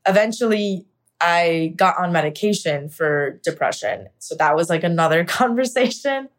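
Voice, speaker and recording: A woman's voice: on the low side, lively intonation, normal speed. A young American woman from Pennsylvania telling a personal story candidly and conversationally, with frequent filler words like "like" and "you know". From Pennsylvania, United States. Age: young adult. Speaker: podcast guest, actor.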